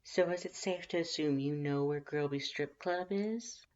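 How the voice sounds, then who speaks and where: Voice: medium pitch, unhurried, a little mellow, voice pushed up, natural voice. A reader voicing a flirtatious stranger in a fan story, lilting and purring, almost sing-song. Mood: teasing. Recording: dull lo-fi recording.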